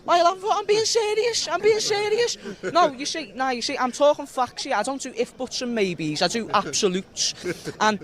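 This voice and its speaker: A man talks in a casual interview clip, sure of every word. He is emphatic and boastful. His voice sits fairly high. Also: lively intonation, fairly quick, a bit bright.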